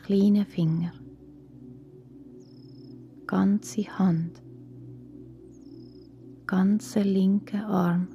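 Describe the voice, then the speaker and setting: A woman with a Swiss German accent guiding a relaxation meditation in a calm, soothing, hushed and breathy voice. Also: mid-range voice, very slow, long pauses. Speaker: guided relaxation instructor for children. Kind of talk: slow guided yoga nidra meditation. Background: soft music.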